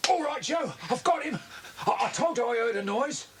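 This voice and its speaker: An actor playing a surly, sneering small-time crook with rough, scornful speech in a children's audiobook drama. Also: male voice, extremely high pitch, lively intonation, moderate pace, a bit bright. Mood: excited. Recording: hissy old cassette transfer.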